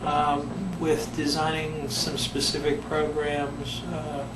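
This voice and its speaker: Slow, thoughtful older man in an echoey room. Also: a little high, completely flat delivery. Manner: slow, thoughtful, with many pauses and fillers. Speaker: retired behavior psychologist, volunteer. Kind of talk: interview answers. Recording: echoey room, mic at a distance, background noise.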